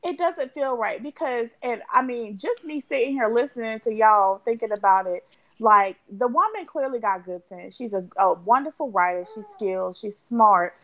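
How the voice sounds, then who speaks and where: A woman in her thirties riffing on a podcast, animated and profane, who breaks into laughs often. Her voice is markedly high. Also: moderate pace. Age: 30s. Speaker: podcast co-host. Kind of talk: casual chat.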